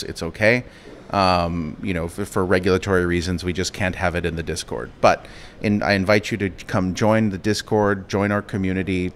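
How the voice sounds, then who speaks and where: A man answering interview questions at a conference in a relaxed, casual way, with the odd restart. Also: low voice, a little hiss or hum. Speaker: interview guest, company executive. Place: crypto conference venue.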